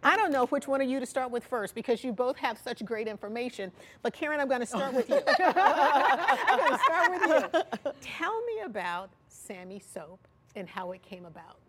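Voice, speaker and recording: A woman's voice: high-pitched, sing-song, normal speed, a bit bright. A woman hosting a broadcast interview, speaking in an encouraging, affirming way. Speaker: talk show host.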